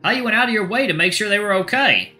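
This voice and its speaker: Caring male mentor, put on by the narrator, raised voice, gentle and proud, soft music behind. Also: sits high, expressive, fast, voice pushed up, exaggerated voice. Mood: tender, proud. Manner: gentle, encouraging, upbeat. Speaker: trainer of the narrator.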